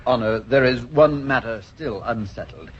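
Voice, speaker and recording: A man's voice: medium pitch, moderate pace, close to an everyday voice. Polite lawyer guy acted by a man, mid voice, bit hesitant, old radio, muffled hissy. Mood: formal, hesitant. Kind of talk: 1930s-40s radio comedy acting. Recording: dull, noisy vintage radio broadcast recording.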